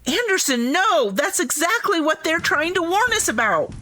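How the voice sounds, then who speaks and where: A woman's voice: very high-pitched, big pitch swings, normal speed, bright, forceful tone, slight background noise, full character voice. A woman playing an alarmed, principled scientist in an audio drama, urgent and emphatic. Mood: pleading. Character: alarmed, principled female scientist, firm and pleading.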